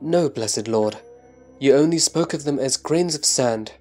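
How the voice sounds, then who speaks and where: A man playing a reverent disciple who answers his master in respectful, measured scripture recitation. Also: medium pitch, dark tone, faint music behind, close to an everyday voice. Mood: reverent, assured.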